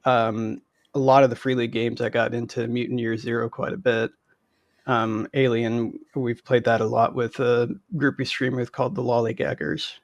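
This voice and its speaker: A man's voice: mid-range voice, level tone, moderate pace, no room sound. A middle-aged man, chatting casually as a guest. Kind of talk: casual chat. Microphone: headset on a video call.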